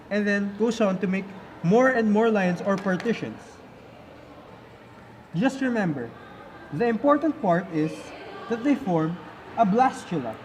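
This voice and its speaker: Casting: presenter for a kids' educational puppet show, medium pitch, explaining simply, slightly noisy recording. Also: darkish tone. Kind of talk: scripted educational dialogue for children. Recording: faint background noise.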